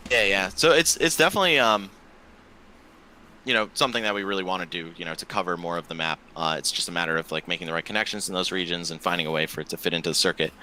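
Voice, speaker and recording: A man's voice: deep voice, fairly even intonation, fast talker, pushed and bright, a little hiss or hum, rough recording. A man in his twenties, a podcast guest chatting casually and talkatively, with loose filler words and the odd swear. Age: 20s. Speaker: podcast guest, esports tournament organizer.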